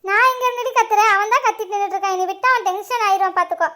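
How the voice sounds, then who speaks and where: This is a fussing middle-aged mother who nags and teases her grown children without a pause. Her voice sits very high and bright, and she talks quickly, played by a woman in a comedy skit. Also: steady tone. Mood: hurried. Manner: scolding, teasing, fast. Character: nagging middle-aged mother, sharp-tongued.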